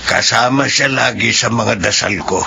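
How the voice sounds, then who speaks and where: Grateful old Filipino man, gravelly yet bright voice, earnest radio drama delivery. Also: medium pitch, moderate pace, slight background noise, full character voice. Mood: humbly grateful. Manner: earnest, humble. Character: grateful, devout old male patient.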